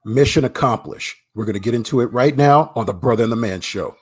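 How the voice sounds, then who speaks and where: Husky-voiced man hosting a chat show. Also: medium pitch, fast, a little mellow. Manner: playful, teasing. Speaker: co-host. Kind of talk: live casual chat.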